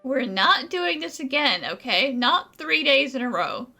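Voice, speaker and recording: A woman's voice: sits high, big pitch swings, measured pace, pushed and bright, full character voice. A woman voicing a bossy, sardonic snake companion in a video game, with a blunt, dismissive manner. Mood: blunt, exasperated. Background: music.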